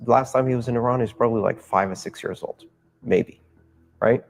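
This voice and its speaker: A man's voice: mid-range voice, brisk, creaky, soft background music. A man who writes and speaks publicly, giving an argumentative talk and building his points by listing them.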